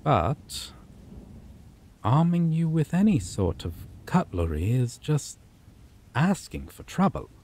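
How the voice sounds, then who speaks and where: A man voicing a possessive, obsessive male vampire in an ASMR roleplay, speaking softly and menacingly and slipping into whispers. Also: a little high, big pitch swings, a little hiss or hum, heavily acted. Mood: calm menace. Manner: slow, soft-spoken, menacing, drifts into whispers.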